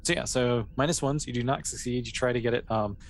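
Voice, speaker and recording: A man's voice: mid-range voice, fast talker. Casual man running a tabletop game, noisy recording. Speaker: game master. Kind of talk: casual narration of a tabletop role-playing game. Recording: clear background noise.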